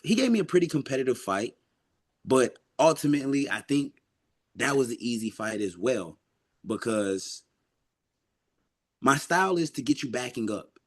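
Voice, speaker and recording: A man being interviewed as an amateur boxer, talking casually and reflectively in an earnest, emphatic way. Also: medium pitch.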